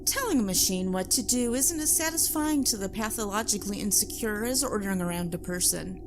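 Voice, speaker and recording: A woman playing a wealthy, haughty plantation owner in an audio drama, formal and measured with quiet menace. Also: mid-range voice, background noise, natural voice.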